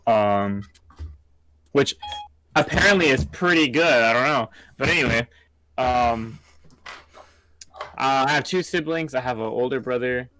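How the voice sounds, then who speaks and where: A young college-age man from California chatting casually as a guest, halting and self-effacing. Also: medium pitch, sharp tone, a little hiss or hum, low-quality recording. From San Diego, California. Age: young adult, college student. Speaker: talk show guest. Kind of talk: casual chat.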